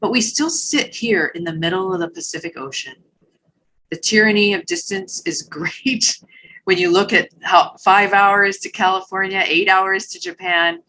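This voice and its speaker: A middle-aged woman from Hawaii, a retired army general, answering interview questions in a thoughtful, measured way with small restarts. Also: medium pitch, animated, pushed and bright, slight background noise, poor audio.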